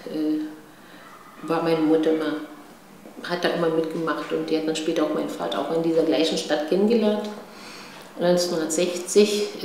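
A woman in her fifties with a Berlin accent, giving personal testimony in a halting way, searching for words and often adding tag questions. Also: fairly low pitch, a little mellow. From East Berlin, Germany, born in Chile. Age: 50s. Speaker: interviewee, political activist. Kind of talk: personal testimony, recounting family history to camera. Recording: very echoey room, faint background noise.

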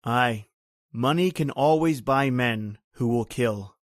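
A grim island man, played by a male actor, spins a bitter horror tale in the manner of old-time radio. His phrasing is folksy, rhythmic and brooding. The sound is dry, with a mid-pitched voice. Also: slow, no room sound, close to an everyday voice. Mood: grim, resigned.

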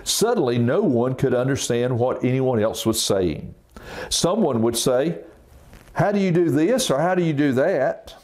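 An older man with a medium-pitched voice reads to children like a preacher at story time. He puts clear emphasis on his phrases and pauses between them. Now and then he steps out of the reading for an informal aside. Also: animated, a little mellow.

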